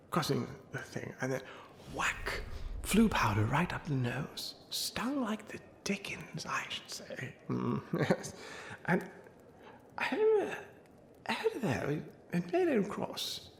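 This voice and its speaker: A man's voice: a little high, wildly swooping pitch, takes it slowly, gravelly, exaggerated voice. A man playing a befuddled old wizard who mumbles and rambles through tall tales in a comic ASMR roleplay, trailing off into muttered asides. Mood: muttering, befuddled. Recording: roomy echo, faint background noise and ambience.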